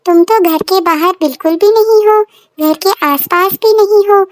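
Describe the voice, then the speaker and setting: A woman's voice: very high voice, normal speed, dry recording, studio quality, soft background music. A woman voicing an anxious, loving village mother in a children's cartoon story, fretful and tender. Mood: worried, searching. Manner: fretful, tender, repetitive calling.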